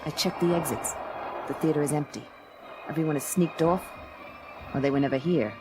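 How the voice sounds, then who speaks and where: A tough, sardonic space-age female detective, acted by a woman in a noir radio play. Her voice is fairly low, dry and hardboiled. Soft music runs underneath. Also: a little fast, natural voice. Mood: cool, wry. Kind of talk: first-person noir radio drama narration and dialogue.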